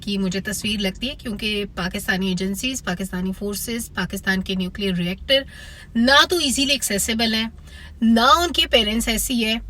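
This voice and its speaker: Bright, higher-pitched Pakistani woman reviewing. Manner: critical, opinionated. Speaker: film reviewer. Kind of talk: spoken opinion review to viewers.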